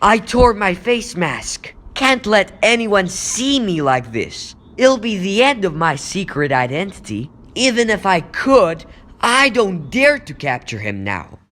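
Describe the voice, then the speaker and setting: Guy doing a young superhero for a comic dub, high voice swooping all over, wisecracky and sorry for himself. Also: very animated, a little slow, bright, forceful tone, exaggerated voice. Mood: alarmed. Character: young male superhero, self-pitying, wisecracking, easily flustered. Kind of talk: animated superhero cartoon-style dub.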